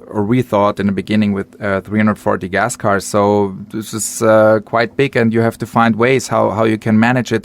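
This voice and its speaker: A man with non-native speech talks as a guest artist in a casual interview. His voice sits fairly low and stays level in intonation, and he haltingly rewords his sentences as he explains. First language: not English. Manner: halting, rephrasing as a second-language speaker.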